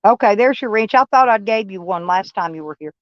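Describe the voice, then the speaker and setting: Live-stream host: a blunt, folksy Texas-accented woman, smooth voice, dull lo-fi audio. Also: mid-range voice, animated, a little fast, dry recording. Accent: Texan. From Kentucky, USA. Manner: rambling, folksy, blunt and swearing. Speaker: livestream host. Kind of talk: casual live-stream chat with viewers.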